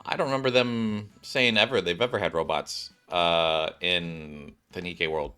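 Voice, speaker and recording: A man's voice: low voice, a little slow, bright, forceful tone, smooth, faint music behind. A man streaming a game, reading its story text aloud and commenting casually. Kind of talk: casual gameplay commentary and read-aloud narration.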